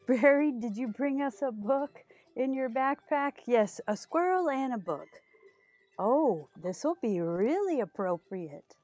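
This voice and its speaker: A middle-aged woman speaks to small children as a storytime host. Her voice sits on the high side and she takes her time over each phrase. The delivery is animated and sing-song, made for reading picture books aloud. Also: takes it slowly, no room sound. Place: small decorated indoor storytime set.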